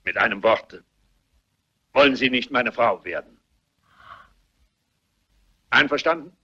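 An actor playing a grumpy, domineering old patriarch in a radio drama, blunt and gruff. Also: male voice, mid-range voice, lively intonation, slow pace, bright, forceful tone, heavily acted. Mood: brusque, bossy. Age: older. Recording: dull lo-fi audio.

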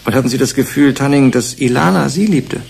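An actor playing a persistent commission investigator in a German radio drama, questioning with deliberate emphasis. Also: male voice, mid-range voice, creaky, darkish tone, breathy edge. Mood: accusing, probing. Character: male UN commission investigator, probing, measured, persistent. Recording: faint background noise.

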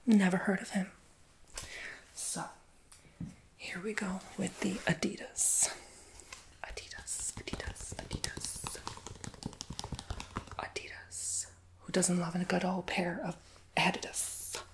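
A woman in a whispered ASMR roleplay as a shoe shop clerk, breathy and chatty, with playful, slangy asides to her customer. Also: fairly low pitch, level tone, slow, long pauses.

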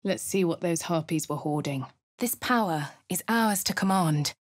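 An actress voicing a dry-witted, composed female adventurer in a video game, with a husky upper-class English accent and a crisp, measured delivery. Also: on the low side, animated, no echo. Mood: wry. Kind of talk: video game voice lines, in-character narration. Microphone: close studio mic.

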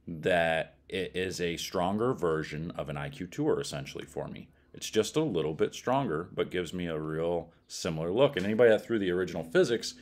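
A man's voice: deep voice, a little mellow. A middle-aged man with an American accent gives a casual product review in a blunt, colloquial way. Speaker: bowling ball reviewer.

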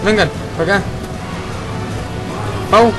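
Casting: Chilean man, high-pitched, bossy slangy gamer chat over loud game music. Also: slow pace. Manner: slangy, swearing, giving orders to teammates. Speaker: gamer leading a group playthrough. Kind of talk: casual gaming chat with friends. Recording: game sound loud behind voice. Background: loud video game music and effects.

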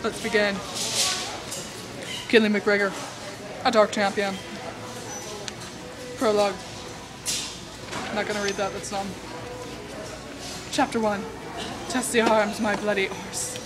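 A woman's voice: medium pitch, little pitch movement, takes it slowly, long pauses. A woman in her twenties giving a playful, theatrical dramatic reading of a romance novel, with amused, mocking asides. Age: 20s. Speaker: amateur vlogger reading aloud. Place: indoors among book aisles of a store or library. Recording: busy public space with loud crowd murmur.

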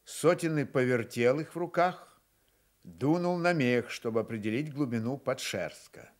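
A man's voice: medium pitch, normal speed. A man reading scripted literary narration for a radio drama.

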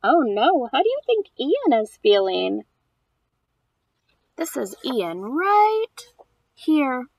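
A woman's voice: high-pitched, fairly bright tone, dry recording. A woman in her thirties speaking as a preschool teacher to young children, in an exaggerated sing-song read-aloud delivery. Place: outdoors on a house porch.

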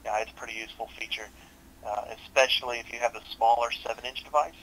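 Young male tech support rep, brisk delivery, mid-pitched, polite and helpful on a headset call. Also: steady tone, a bit bright, poor audio. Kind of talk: customer service walkthrough. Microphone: headset on a video support call.

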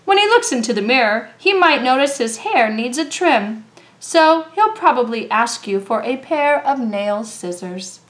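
Slow, animated woman reading a storybook. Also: fairly high pitch. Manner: expressive, deliberate, with drawn-out words for young listeners. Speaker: storybook reader. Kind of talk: read-aloud children's story narration.

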